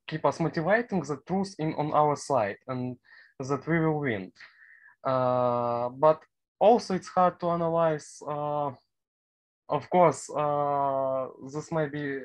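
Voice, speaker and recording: A young man with a Ukrainian accent, giving unscripted interview answers as a student, haltingly searching for words in a language that is not his first. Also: on the high side, poor audio. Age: young adult. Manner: halting, searching for words in non-native English. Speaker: university student, interview guest.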